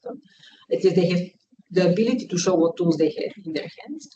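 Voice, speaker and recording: A woman's voice: lowish voice, expressive, a little fast. A woman from Bulgaria giving an academic conference talk, hesitant, with many fillers and uneven emphasis. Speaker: conference speaker, researcher. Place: conference hall. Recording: distant, dull, faint noise.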